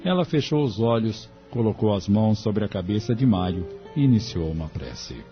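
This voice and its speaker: A man's voice: fairly low pitch, sing-song, moderate pace. A man with a Brazilian accent reading scripted narration for an audio drama. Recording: dull lo-fi audio with music behind.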